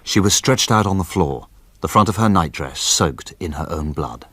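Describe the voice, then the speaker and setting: An actor playing an anxious, genteel gentleman narrating a mystery in formal, literary language as radio drama. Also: sits low, lively intonation, normal speed, dry recording. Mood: horrified.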